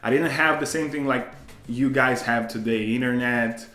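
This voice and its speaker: A man's voice: mid-range voice, normal speed, pushed and bright, echoey room. A man from Brazil with a Brazilian accent, a native Portuguese speaker and English teacher, talking emphatically as a guest in a casual interview.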